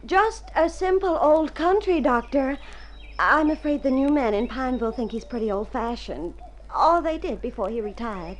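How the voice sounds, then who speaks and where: A high, girlish female voice, a modest young farm girl in an old radio play. She is artless and wistful, over a noisy old recording. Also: lively intonation, normal speed. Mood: chatty, innocent. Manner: artless, wistful, self-deprecating. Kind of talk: vintage radio drama acting.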